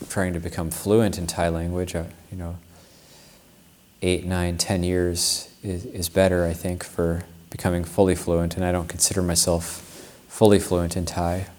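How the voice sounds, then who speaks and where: A man, a Buddhist monk, giving a Dharma talk, telling a personal story in a reflective, unhurried way with frequent restarts. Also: low voice, flat delivery, darkish tone.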